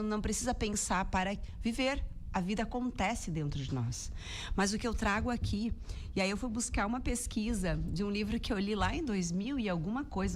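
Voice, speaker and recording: A woman's voice: mid-range voice, slight background noise. Brazilian woman with a cold, chatting. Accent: Brazilian Portuguese. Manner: warm, reflective, frequent "né?" tags. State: has a cold. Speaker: radio guest commentator on happiness. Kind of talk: casual radio chat. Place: radio studio.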